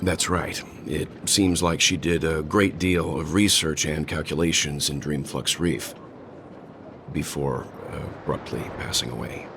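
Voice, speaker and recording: An actor voicing a calm, courteous male traveler in a video game, asking questions in a measured, reserved way. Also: very deep voice, noisy background.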